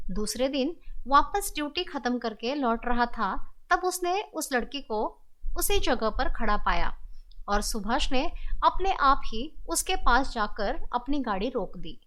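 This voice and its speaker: A woman's voice: sits high, fairly bright tone. A woman storyteller reading a scripted horror story as narrator.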